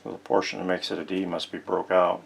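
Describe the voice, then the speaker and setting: Low, gravelly man thinking aloud. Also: little pitch movement, fast, background noise. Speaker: host of a vintage radio repair channel. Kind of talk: casual unscripted hands-on narration while working. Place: indoor workshop.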